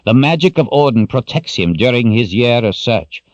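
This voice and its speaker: A man's voice: medium pitch, sing-song, normal speed, no room sound, lo-fi sound, pitched above the performer's own voice, close to an everyday voice. A man voicing a stern, grim old wizard mentor who speaks with urgent emphasis. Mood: urgent warning.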